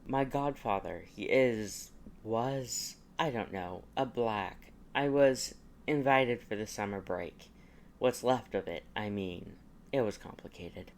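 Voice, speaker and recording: A man's voice: on the low side, slow. A man voicing a sullen, hot-tempered teenage boy wizard in an audiobook, blunt and grudging in manner. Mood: hesitant. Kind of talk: audiobook character dialogue.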